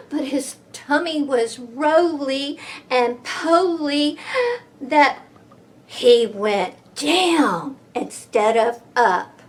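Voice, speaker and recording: A woman reading a picture book aloud to children, expressive and deliberate, with playful emphasis. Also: high-pitched. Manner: expressive, deliberate, with playful sound effects and gasps.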